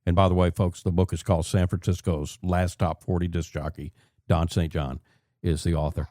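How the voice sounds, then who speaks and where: A man's voice: deep voice, little pitch movement, normal speed, dark tone, no room sound, studio quality. A man hosting a conversational radio interview, affirming his guest and thinking aloud as he frames questions. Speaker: radio show host.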